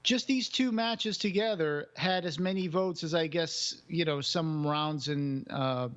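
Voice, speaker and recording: A man's voice: fairly high pitch, unhurried, fairly bright tone, clean tone, dull audio. A man co-hosting a podcast in casual chat, rambling with many restarts and fillers. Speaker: podcast co-host.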